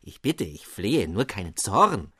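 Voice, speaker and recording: A man's voice: lowish voice, very animated, measured pace, bright, forceful tone, slightly rough, no echo, studio quality, pitched below the performer's own voice, heavily acted. A man voicing a tiny, pompous gnome king who speaks in flowery, grandiloquent and fawning phrases in a storybook reading.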